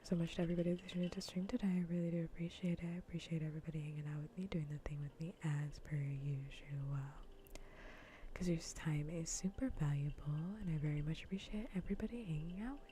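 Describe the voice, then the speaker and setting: A woman livestreamer chatting softly in a breathy, mostly whispered ASMR voice close to the mic, rambling playfully and repeating her phrases. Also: low-pitched, steady tone, fast, slight background noise. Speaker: livestreamer hosting an ASMR gaming stream. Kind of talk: soft ASMR casual chat to viewers. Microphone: close ASMR microphone.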